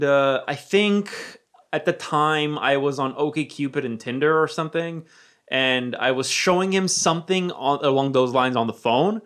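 A young man from Romania with an American accent, telling a personal story in casual chat. He talks animatedly, swears freely and drops to a low murmur when quoting his own thoughts. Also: a bit bright.

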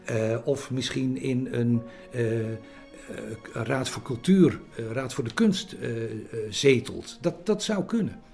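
A man talking reflectively in an interview, hesitant and halting, often repeating his phrases. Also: mid-range voice, expressive, vocal fry, darkish tone, soft background music.

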